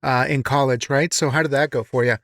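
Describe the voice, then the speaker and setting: A man's voice: mid-range voice, steady tone, a little fast, a bit bright, no echo, clean studio sound. A man hosting a podcast, asking questions in a casual, thoughtful way and rephrasing them as he goes. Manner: thoughtful, rephrases his questions mid-sentence. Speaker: podcast host, interviewer. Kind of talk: casual interview.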